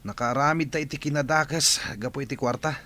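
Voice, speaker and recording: A man's voice: fairly high pitch, quick pace, pushed and bright. A man playing a regretful, impatient crook in a radio drama, with an Ilocano accent. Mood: rueful. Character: bitter, regretful jailed crook, impatient accomplice.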